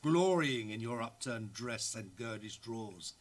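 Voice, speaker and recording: An actor reading aloud as a lustful, teasing writer of love letters from the early 1900s. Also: male voice, mid-range voice, animated, unhurried, lightly acted.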